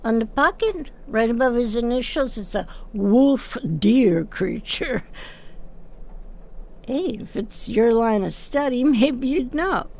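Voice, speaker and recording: An actress playing a chatty, bossy older seamstress in an audio drama, brash and given to scolding exclamations. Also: female voice, a little high, expressive, takes it slowly, a little mellow, heavily acted. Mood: wistful, proud.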